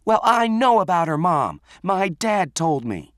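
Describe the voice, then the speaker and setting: A man's voice: a little high, big pitch swings, takes it slowly, breathy edge, no room sound, studio quality, pitched above the performer's own voice, full character voice. A man voicing a spiteful, hot-headed teenage girl who hollers confrontationally in an audiobook story.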